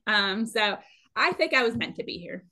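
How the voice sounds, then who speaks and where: A woman, chatting casually and animatedly as she tells stories. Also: medium pitch. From Minnesota, United States. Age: late 30s. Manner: chatty, animated, quick to laugh. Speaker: podcast guest, amateur trail runner. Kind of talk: casual conversational storytelling.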